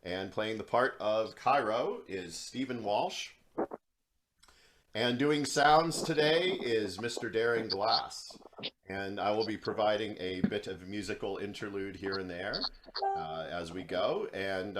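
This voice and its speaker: Slow, low-voiced man on noisy call. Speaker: coordinator and presenter. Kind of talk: informal live introduction. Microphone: video call. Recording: noisy video call with clicks.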